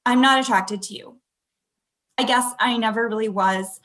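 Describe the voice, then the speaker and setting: Hurt, higher-voiced young girlfriend, acted. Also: moderate pace, fairly bright tone, lightly acted. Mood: upset, frank. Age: young adult. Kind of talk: acted pilot table read, naturalistic. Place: small room at home, video call. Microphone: computer video-call mic.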